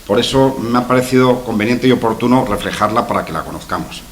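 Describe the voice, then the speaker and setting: A man's voice: lowish voice, fast, a little hiss or hum. Man from La giving a talk. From La Mancha, Spain. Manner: hesitant, repeats words, tags asides with "¿verdad?". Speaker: history lecturer. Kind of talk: conference talk. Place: conference room with a projection screen.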